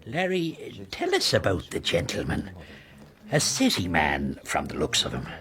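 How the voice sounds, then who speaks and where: An actor playing a wry, talkative country innkeeper with an Irish accent, probing and storytelling in a radio drama. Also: male voice, deep voice, wildly swooping pitch, a little slow, fairly bright tone, a little hiss or hum, exaggerated voice. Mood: wry, amused.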